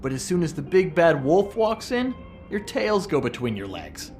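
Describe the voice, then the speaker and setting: A man's voice: a little high, big pitch swings, darkish tone, faint music behind. An actor playing a cocky, mouthy male troublemaker in a video game, sarcastic and goading. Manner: sarcastic, goading, drawn-out emphasis.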